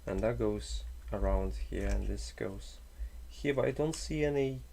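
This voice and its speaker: Gravelly man muttering while he tinkers. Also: on the low side, measured pace, background noise. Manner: thinks aloud, muttering, slightly broken English. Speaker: maker streamer. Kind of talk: casual live-stream chat while building.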